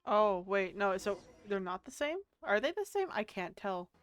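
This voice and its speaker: A woman's voice: mid-range voice, sing-song, normal speed, faint music behind. A woman giving casual commentary as she plays through a video game story. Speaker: gameplay streamer reacting to the story.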